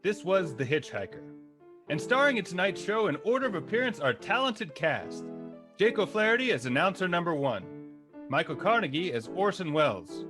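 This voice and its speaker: A man's voice: high-pitched, big pitch swings, normal speed. A man announcing cast credits in a scripted radio style. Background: music.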